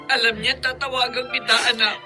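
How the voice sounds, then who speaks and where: A sorrowful old Filipino grandmother in a radio drama. She speaks tearfully in a subdued, high voice, with music clearly behind her. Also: steady tone, brisk, pushed and bright. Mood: resigned. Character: sorrowful elderly grandmother on the phone.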